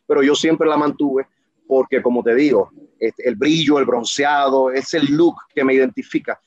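A man's voice: mid-range voice, normal speed, dry recording, dull audio. Passionate, emphatic man in an interview. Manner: passionate, emphatic, rambling with restarts. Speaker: guest, professional wrestler. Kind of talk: casual interview talk.

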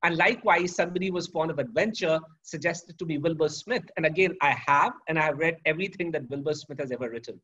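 A middle-aged man with a husky, slightly high voice speaks in a measured, articulate way, like someone used to teaching. The sound is dull and lo-fi. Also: fairly bright tone. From India. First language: Sanskrit. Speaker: guest, professor. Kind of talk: reflective interview answers. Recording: dull lo-fi audio.

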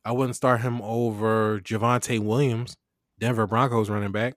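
A man's voice: on the low side, little pitch movement, normal speed, dry recording. Laid-back slangy man, dry close sound. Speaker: podcast host. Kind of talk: casual fantasy football chat.

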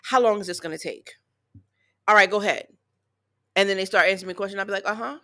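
A soft-spoken, easygoing woman co-hosting a casual chat podcast, laughing at herself. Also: mid-range voice, fast. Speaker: podcast co-host.

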